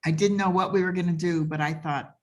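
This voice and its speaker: A woman's voice: fairly low pitch, fairly even intonation, brisk. A woman chatting casually on a video call as a workshop participant. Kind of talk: casual chat.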